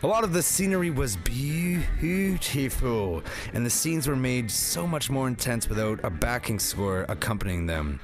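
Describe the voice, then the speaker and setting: A man's voice: medium pitch, expressive, measured pace, few pauses. A man narrating a comedic film review as host, with a jokey, theatrical, slangy delivery.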